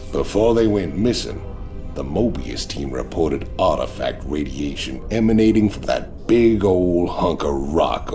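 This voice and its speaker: Gravelly, very deep Southern outlaw mercenary. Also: male voice, heavily acted. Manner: drawling, blunt, folksy. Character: gruff cynical male outlaw mercenary, crude and folksy. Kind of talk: video game voice acting. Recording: music under the voice.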